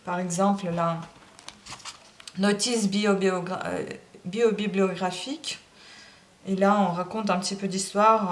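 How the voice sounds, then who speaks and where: A woman's voice: medium pitch, slight background noise. A woman reading a classic novel aloud in a language that is not her first, then chatting hesitantly with many fillers. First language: not French. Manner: emotional, admits crying while reading. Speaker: audiobook reader.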